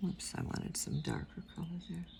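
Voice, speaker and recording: An older woman with a quiet, soft-spoken voice giving a casual painting tutorial, rambling and trailing off into mumbles as she works. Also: normal speed, noisy background. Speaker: watercolor instructor.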